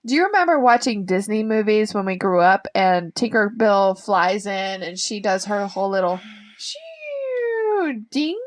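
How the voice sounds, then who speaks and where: A woman's voice: mid-range voice, big pitch swings, a little slow. A woman co-hosting a podcast in casual chat, a little tipsy, teasing and giggling. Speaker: podcast co-host.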